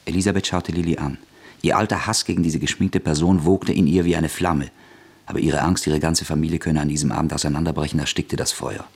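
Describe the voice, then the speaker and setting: Very deep, husky male narrator. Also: fairly even intonation, fairly quick, darkish tone. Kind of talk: scripted literary narration for radio drama.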